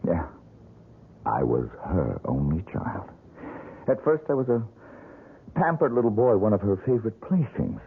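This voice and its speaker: An actor playing a poor, bitter painter haunted by one recurring nightmare, talking in a brooding, self-mocking way in a radio drama. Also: male voice, fairly low pitch, very animated, a little slow, vocal fry, pauses often, slightly rough, exaggerated voice. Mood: recounting. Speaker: struggling painter. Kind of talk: 1970s radio drama acting. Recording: tinny old radio broadcast, faint music.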